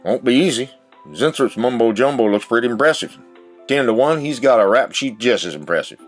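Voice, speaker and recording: An actor playing a wry, sceptical know-it-all in a satirical audio drama, dry and self-assured. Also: male voice, medium pitch, animated, fairly quick, faint music behind, lightly acted. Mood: cynical.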